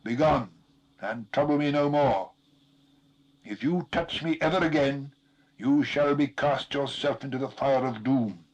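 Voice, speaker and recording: A man's voice: mid-range voice, measured pace, dull audio, voice pushed up, close to an everyday voice. A man voicing a stern, weary hero in a storybook reading, solemn, commanding and declamatory.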